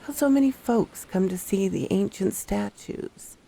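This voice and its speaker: Woman as a creaky-voiced, troubled park ranger. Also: medium pitch, a little slow, mellow, poor audio. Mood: wary, recounting. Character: troubled Chilean park ranger telling her horror tale. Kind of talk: scripted first-person horror story narration.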